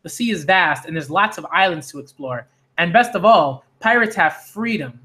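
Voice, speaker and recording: A man's voice: sits high, animated, moderate pace, pushed and bright. A man reading the parts of carefree, grog-loving pirate crewmen from a manga in a boisterous, jolly manner.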